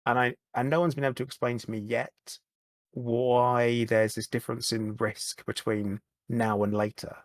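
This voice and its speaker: A man, a podcast guest, talking candidly in a halting way, often repeating words and starting over. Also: mid-range voice, level tone, no room sound. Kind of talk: candid conversational chat.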